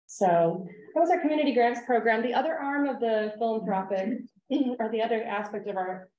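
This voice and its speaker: A woman with a somewhat low voice explains community programs at a co-op's annual meeting. She talks steadily, with a few ums and restarts, and the room gives her voice a clear echo. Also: a little mellow. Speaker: co-op staff presenter. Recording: echoey room.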